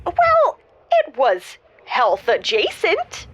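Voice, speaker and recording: A woman playing a giddy fake doctor in an improvised comedy audio show, confessing haltingly and then gushing theatrically. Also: high-pitched, wildly swooping pitch, a bit bright, exaggerated voice. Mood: sheepish, evasive. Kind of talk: improvised comedy audio drama, radio call-in.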